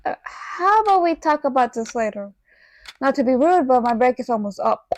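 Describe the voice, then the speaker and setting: A woman's voice: sits high, animated, moderate pace. A woman playing an overworked, modest human manager in a radio play, reserved and hesitant in delivery. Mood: modest, evasive. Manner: reserved, hesitant, slips into Spanish. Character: Ari, overworked, modest human woman running a cartoon studio. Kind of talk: fictional radio theater acting.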